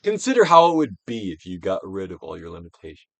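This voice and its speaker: A man's voice: low voice, huge pitch swings, no room sound. Male narrator for self-help audiobook: trained stage actor, dramatic and emphatic, wide-ranging intonation, big dynamic contrasts, very dry close sound. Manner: emphatic, drawn-out phrases with big dynamic swells and hushed drops. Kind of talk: theatrical dramatic audiobook reading.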